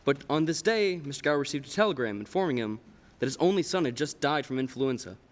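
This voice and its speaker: A man's voice: mid-range voice, expressive, quick pace, close to an everyday voice. A man playing the storyteller, narrating a scripted stage radio play. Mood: explanatory. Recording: reverberant hall stage recording.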